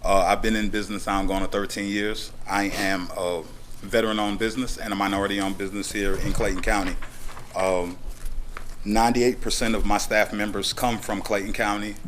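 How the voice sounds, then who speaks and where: A man speaks at a podium microphone in a county meeting room. His voice is very deep and stays flat. He talks plainly and steadily, with occasional "uh" fillers. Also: moderate pace, slight background noise. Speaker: business owner applicant. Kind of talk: public hearing statement.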